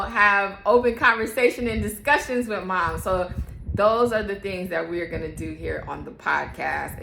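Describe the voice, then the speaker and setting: A woman's voice: medium pitch, pushed and bright, echoey room, slight background noise. A woman hosting her own faith-based mom podcast, talking casually to camera, chatty and often laughing, repeating herself for emphasis. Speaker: podcast host and mother.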